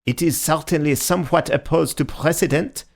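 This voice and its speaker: A man's voice: fairly high pitch, moderate pace, gravelly, voice pushed up. A man voicing a formal, indignant military colonel who splutters when angry. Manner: formal, sputtering.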